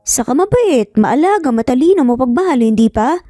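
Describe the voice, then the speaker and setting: A woman's voice: sits high, lively intonation, brisk, dark tone, dry recording, clean studio sound, voice pushed up. A Filipino woman voicing a sassy, playful young woman in love with cheeky, bantering delivery. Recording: music behind the voice.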